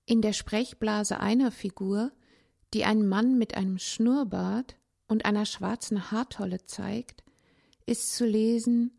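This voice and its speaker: A woman reading a literary essay aloud in a measured, contemplative way, with pauses between phrases. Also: mid-range voice, fairly even intonation, vocal fry, warm tone. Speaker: narrator, language teacher.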